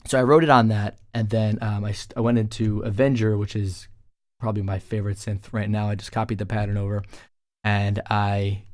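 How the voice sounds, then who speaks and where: A man's voice: fairly low pitch, little pitch movement, a little fast, no room sound, clean studio sound. A man talking casually as a music producer, explaining his work in an informal tutorial voice-over. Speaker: music producer presenting a track breakdown.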